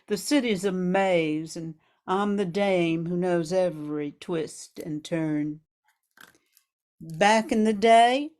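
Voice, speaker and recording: Woman playing a slow, sultry 1940s gun moll. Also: medium pitch, a little mellow, close to an everyday voice. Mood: proud, menacing. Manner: sultry, hard-boiled underworld slang, calling listener sweetheart. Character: sultry, tough 1940s gun moll, dangerous and worldly-wise. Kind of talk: 1940s radio noir monologue.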